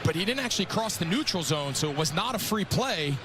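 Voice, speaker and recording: A male color analyst explains football plays in a high-pitched voice. His delivery is analytical commentary. Loud noise fills the rebroadcast around him. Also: lively intonation, brisk. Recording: very noisy game rebroadcast.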